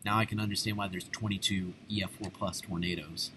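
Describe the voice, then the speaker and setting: A low-voiced American man hosts a live reaction, talking off the cuff. He is excitable and exclamatory, full of casual slang. There is clear noise behind his voice. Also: brisk. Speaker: reaction video host. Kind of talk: live unscripted reaction commentary.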